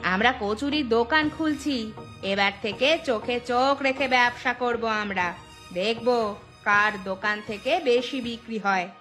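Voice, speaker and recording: Cartoon village wife, female actor, feisty and supportive, bright sharp voice on the high side. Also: measured pace, soft background music. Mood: defiant. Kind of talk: animated moral story dialogue.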